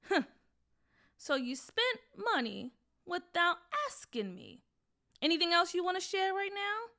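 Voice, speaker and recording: Storybook mom: woman voicing a firm, scolding, protective parent, slightly high voice. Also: expressive, normal speed. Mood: stern. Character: stern, protective mother.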